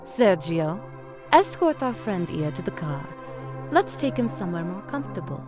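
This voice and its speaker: A haughty female crime boss in an audio drama. She is silkily menacing and condescending, with expressive, swooping pitch. Music plays clearly behind her. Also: normal speed. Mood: cold condescension. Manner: theatrical, condescending, mock-polite.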